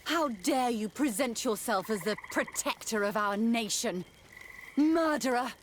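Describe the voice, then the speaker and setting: An actress playing an earnest, principled scholar-priestess in a video game cutscene, formal and emotive. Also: female voice, a little high, animated, unhurried, a little hiss or hum. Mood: outraged.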